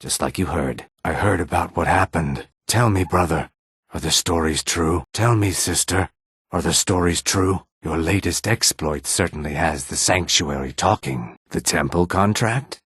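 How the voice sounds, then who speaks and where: A proud reptilian assassin from a fantasy video game. His voice sits extremely low, with a husky rasp, recorded dry and close. He speaks in a measured, sibilant, theatrical way, polite yet quietly menacing. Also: no echo, heavily acted. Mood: amused, admiring. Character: calm, menacing male lizardfolk assassin, proud and courteous. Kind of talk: video game character dialogue.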